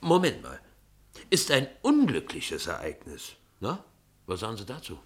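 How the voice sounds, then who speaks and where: Lively, husky newspaper hack. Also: male voice, lowish voice, heavily acted. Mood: glib, obliging. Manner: quick to oblige, glib. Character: eager, pliable male newspaper hack serving his boss. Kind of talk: German radio play acting.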